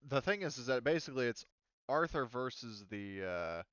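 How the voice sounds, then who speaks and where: A man's voice: mid-range voice, very animated, moderate pace, fairly bright tone, clear, resonant tone, no room sound, muffled recording. A man running a tabletop game as game master, talking casually and thinking aloud as he checks the rules.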